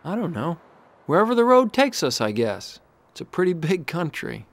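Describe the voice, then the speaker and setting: Game lead: breezy, confident young race car, American, fairly high and very varied pitch. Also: male voice, big pitch swings, normal speed, no echo. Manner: breezy, cocky, easygoing.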